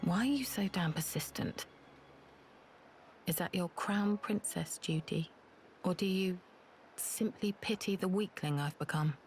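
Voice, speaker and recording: A woman's voice: lowish voice, unhurried, pauses often, darkish tone, soft background music. An actress voicing a proud, guarded woman in a video game drama, with a curt, sardonic manner. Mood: bitter. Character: bitter, proud, guarded woman, self-loathing rival sister.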